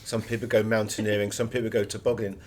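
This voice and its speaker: A man's voice: lowish voice, level tone, fast. A British man speaking as an interviewed author, telling anecdotes in a wry, chatty and emphatic way.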